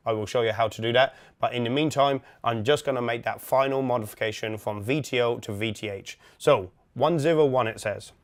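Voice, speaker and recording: Man, instructor, step-by-step explanations, methodical, informal, medium-pitched voice. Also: fairly quick, hardly any pauses. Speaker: instructor, product demonstrator. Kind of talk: software setup tutorial, step-by-step walkthrough.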